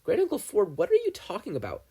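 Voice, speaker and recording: A man voicing an anxious, excitable young teenage boy in an audiobook reading, urgent and pleading. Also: high voice, fast, husky, voice pushed up, exaggerated voice. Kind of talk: fan fiction audiobook reading.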